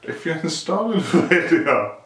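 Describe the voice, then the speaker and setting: A man's voice: fairly high pitch, big pitch swings, unhurried, a bit bright. A man giving a casual hands-on demonstration, thinking aloud in a rambling, self-deprecating way. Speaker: amateur DIY video maker. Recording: echoey, distant mic with hiss.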